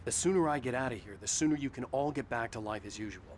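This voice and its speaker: A man's voice: mid-range voice, fast talker, a little hiss or hum, natural voice. A man playing a sardonic, embattled writer on the run in a video game, with a dry, sarcastic manner. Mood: grim, earnest. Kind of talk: video game dramatic acting. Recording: loud game music behind the voice.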